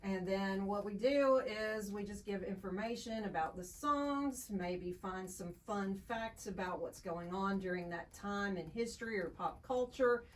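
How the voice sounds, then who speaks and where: A woman's voice: mid-range voice, a little slow, few pauses, distant mic, poor audio. A woman in her fifties, a radio co-host, explaining things in a casual interview in an easy-to-follow way. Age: 50s.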